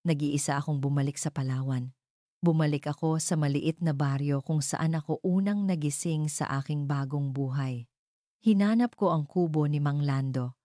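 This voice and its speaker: A woman reading a dramatic first-person story as a narrator, in a measured, deliberate way. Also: deep voice, mellow, dry recording, clean studio sound.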